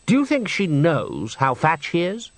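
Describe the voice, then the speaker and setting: A man's voice: fairly high pitch, wildly swooping pitch, unhurried, dry recording, pitched above the performer's own voice. A man reading aloud and voicing a male opera manager who speaks nastily and sarcastically. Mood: sarcastic. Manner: nasty, sarcastic.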